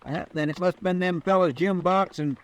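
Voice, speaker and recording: A man's voice: sits high, brisk. A man with a rural Western American drawl playing a simple, folksy, deferential lawman's sidekick in a radio western. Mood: curious, eager. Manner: folksy, hesitant, plain-spoken. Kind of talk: old-time radio western acting.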